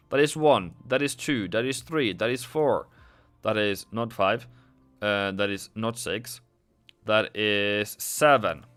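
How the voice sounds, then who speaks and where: A man's voice: medium pitch, fairly bright tone. A man hosting a casual trading livestream, chatting and joking sarcastically with viewers.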